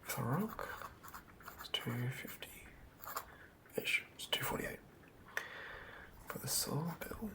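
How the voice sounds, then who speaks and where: A man's voice: on the high side, wildly swooping pitch, slow, creaky, long pauses, low-quality recording. A man doing casual ASMR, thinking aloud as he writes and often murmuring words over again. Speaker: ASMR channel host. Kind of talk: whispered ASMR, tabletop game monster writing.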